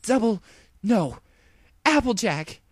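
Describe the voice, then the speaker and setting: A man's voice: sits high, sing-song, slow pace, husky, dry recording, clean studio sound, pitched above the performer's own voice, full character voice. A man voicing a desperate, defiant female pony secret agent in a dramatic story reading, strained and pleading.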